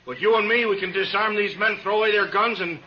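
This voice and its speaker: An actor playing a wary, bargaining outlaw prisoner in a 1950s radio western, talking in a folksy, halting way. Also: male voice, high voice, close to an everyday voice. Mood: urgent bargaining. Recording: lo-fi, faintly noisy 1950s radio recording.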